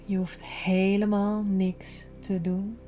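A woman guiding a yoga nidra relaxation in a gentle, soothing, unhurried manner, breathy at times. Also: mid-range voice, level tone, faint music behind. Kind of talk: slow guided relaxation meditation.

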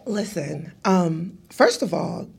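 A woman from Cameroon, a podcast guest telling her life story in a casual, animated way with some laughter. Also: lowish voice, takes it slowly, creaky, slightly rough, soft background music.

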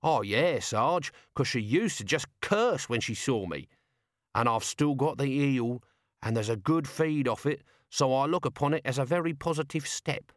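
Male narrator voicing a cheerful, scruffy lowlife corporal, dry close audiobook read. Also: animated, normal speed, no room sound, close to an everyday voice.

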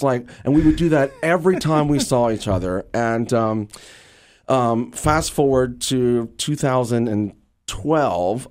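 Animated man telling stories as an interview guest. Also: mid-range voice. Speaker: interview guest, actor and radio drama creator. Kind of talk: enthusiastic conversational storytelling in an interview.

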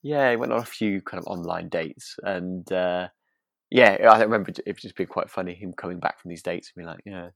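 Low, creaky-voiced man chatting casually. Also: a little fast, no echo, studio quality. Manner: hesitant, rambling, softens points with 'sort of'. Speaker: podcast co-host. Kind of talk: relaxed, casual chat reviewing a radio drama.

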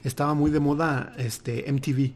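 A man's voice: mid-range voice, steady tone, normal speed, a little mellow. Laid-back mexican man chatting. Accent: Mexican Spanish. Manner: laid-back, swears freely, jokes and laughs. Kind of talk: casual chat.

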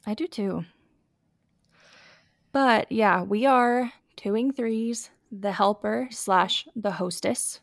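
A woman's voice: mid-range voice, slow pace, gravelly, no room sound, studio quality. A young adult woman hosting a casual podcast chat, her voice scratchy and a bit sniffly from allergies, with lots of fillers. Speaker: podcast host.

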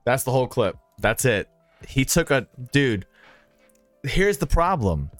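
A man hosts a casual streaming podcast in a mid-pitched voice. He talks in an opinionated, conversational way, repeating key points for emphasis. The sound is close and dry, with music softly underneath. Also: very animated, a little slow, no room sound. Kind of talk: casual chat about streaming.

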